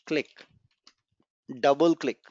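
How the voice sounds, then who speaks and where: A man's voice: on the high side, steady tone, slow pace, darkish tone, dry recording. Man teaching coding in a dull, dry recording. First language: Gujarati. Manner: encouraging, repeats key points, walks through code step by step. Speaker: programming instructor. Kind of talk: live online coding class.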